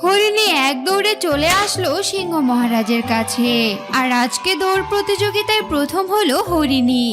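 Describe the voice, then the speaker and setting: Female narrator for children's animal fable: extremely high pitch, clear music bed. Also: animated, normal speed. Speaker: story narrator. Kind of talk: scripted children's story narration.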